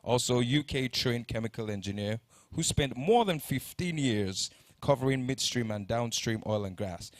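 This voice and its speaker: A man's voice: medium pitch, animated, normal speed, no echo, studio quality. A man, introducing conference speakers from the stage, reading their bios and stumbling now and then on names. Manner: reads bios, stumbles on names, jokes lightly. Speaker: conference emcee.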